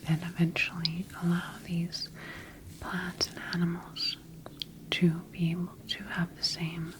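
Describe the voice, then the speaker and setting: A woman whispering breathily in an ASMR sci-fi roleplay as a scientist studying her creation, speaking deliberately with pauses. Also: fairly low pitch, monotone, noisy background. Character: quietly rogue female scientist studying her engineered creation. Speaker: ASMR performer.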